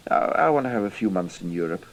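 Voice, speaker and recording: A man's voice: on the low side, animated, fast talker, low-quality recording. An actor playing an idealistic, indignant young Cambridge man in a radio drama, earnest and hesitant, with an English accent. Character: idealistic, indignant young British Cambridge student turned spy.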